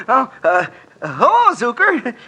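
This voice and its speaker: Squeaky, raspy, jittery old-radio barkeep. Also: male voice, huge pitch swings, slow pace, sharp tone, heavily acted. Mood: nervous, cowering. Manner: stammering, placating, slangy, chuckling nervously. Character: nervous, fat, chatty barkeep of a skid row dive. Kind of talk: 1950s radio drama acting. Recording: old AM radio drama recording.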